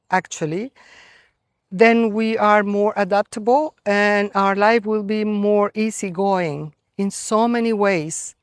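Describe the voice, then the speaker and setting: A middle-aged woman from Colombia with a Colombian Spanish accent, giving an earnest, reflective spiritual talk to a gathering, with many pauses and fillers. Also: mid-range voice, dry recording. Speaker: gathering organizer.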